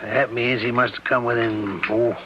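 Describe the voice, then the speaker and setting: An actor playing a folksy, plain-spoken small-town sheriff who quietly probes, in a radio mystery drama. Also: male voice, mid-range voice, little pitch movement, lightly acted. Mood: casually probing. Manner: plain-spoken, rural phrasing, deliberate. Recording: dull lo-fi radio recording with hiss.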